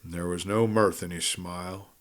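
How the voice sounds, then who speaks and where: A man's voice: low-pitched, fairly even intonation, moderate pace. A man reading a western adventure story as a dramatic audiobook narrator.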